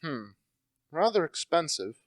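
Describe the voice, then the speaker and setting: A teenage student actor playing a brisk, assured, well-educated gentleman in an amateur radio play. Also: a little high, big pitch swings, dry recording. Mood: genial, considering. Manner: breezy, assured, clipped questions.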